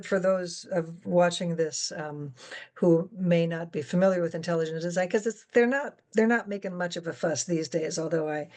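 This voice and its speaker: A woman's voice: low-pitched, darkish tone. A woman in a conversational explanatory talk, speaking articulately and emphatically as she lays out her points. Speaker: guest moderator and science education advocate.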